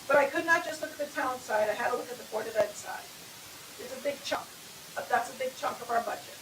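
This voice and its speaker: Woman, hoarse, blunt combative delivery, public meeting, echoey hall, distant podium mic. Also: high-pitched, background noise. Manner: blunt, combative, halting over figures. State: losing her voice. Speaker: town first selectwoman. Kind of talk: public comment at a budget meeting. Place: town meeting room.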